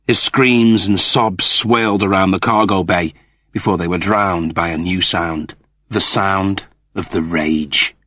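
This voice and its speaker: A man's voice: sits low, fairly even intonation, measured pace, sharp tone, no room sound, clean studio sound. A man reading a science fiction novel aloud as a narrator, with theatrical, intense storytelling. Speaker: audiobook narrator.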